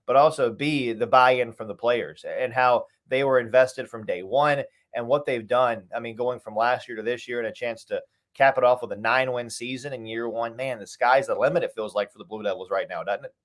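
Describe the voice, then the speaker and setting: Fast-talking man giving sports analysis. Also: medium pitch. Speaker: radio sports play-by-play announcer, interview guest.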